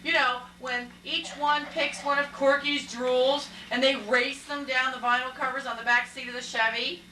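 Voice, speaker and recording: A woman's voice: sits high, fairly even intonation, moderate pace, pushed and bright. A woman voicing a wry, weary suburban mother in an amateur puppet show. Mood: matter-of-fact. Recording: echoey, noisy room from far off.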